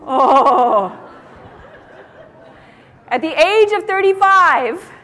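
A woman giving a motivational keynote talk to an audience on stage, with an animated, theatrical delivery and playful emphasis. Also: very high voice, drawn-out words, some chatter behind. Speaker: keynote speaker. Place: large indoor conference room, on stage. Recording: echoey conference hall sound.

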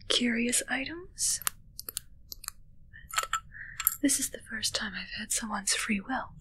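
A woman playing a possessive, commanding witch in a whispered ASMR roleplay, softly taunting and close to the microphone. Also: a little high, low-quality recording. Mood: gloating. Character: possessive, commanding young female witch addressing her familiar.

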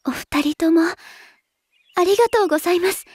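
A woman playing a modest, soft-spoken teenage girl idol who speaks very formally in an anime drama. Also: very high voice, fairly quick, dry recording, studio quality. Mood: humble, grateful. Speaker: voice actress in character. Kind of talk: anime audio drama dialogue.

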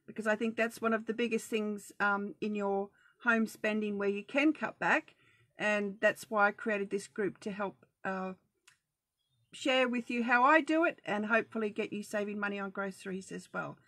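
A woman's voice: medium pitch, normal speed, a bit bright, no room sound, studio quality. A middle-aged Australian woman hosting a casual live video chat, talking in a chatty, practical and reassuring way about saving money. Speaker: budgeting group host. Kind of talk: casual Facebook Live talk.

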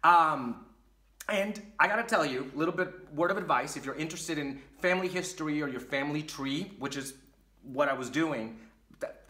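A man in his thirties from Puerto Rico, telling a story to camera in a casual, animated way while gesturing a lot. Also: fairly high pitch, roomy sound. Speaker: vlogger. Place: small dim room with curtain behind.

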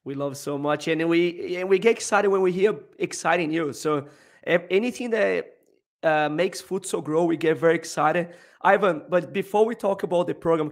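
A man in his thirties hosting a futsal podcast, asking questions eagerly over a headset on a video call. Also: on the high side. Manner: enthusiastic questioner, some restarts. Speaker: podcast host.

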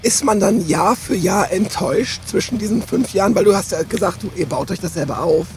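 Hoarse gravelly man, high voice. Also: a little fast, over music. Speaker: host. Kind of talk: casual festival interview. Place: inside a van at a festival. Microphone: handheld mic.

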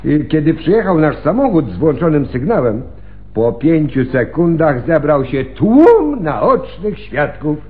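A man's voice: fairly high pitch, big pitch swings, unhurried. An actor playing a deferential male police officer reporting to his boss in a radio play, matter-of-fact and slightly hesitant. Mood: dutiful. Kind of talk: Polish radio play acting. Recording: muffled, phone-like radio recording.

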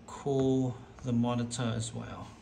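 A man giving an unscripted product demo to viewers, halting and repeating himself as he goes. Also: mid-range voice, flat delivery, creaky. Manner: halting, repetitive, addressing viewers as guys. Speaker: product demonstrator. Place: indoor room, desk demo. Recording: echoey room with background noise.

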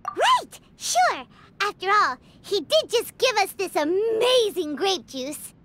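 A woman voicing a tiny, excitable childlike fairy companion in a video game, squeaky and cheeky. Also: takes it slowly, heavily acted. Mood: curious, eager. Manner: bubbly, refers to herself in third person. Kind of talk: video game character dialogue. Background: prominent background music.

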